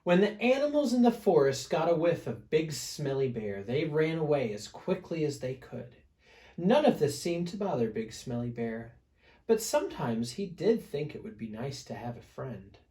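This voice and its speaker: A man's voice: fairly high pitch, very animated, warm tone. A man reading a picture book aloud to children as a storyteller, with dramatic emphasis. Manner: dramatic emphasis, pauses to prompt listeners.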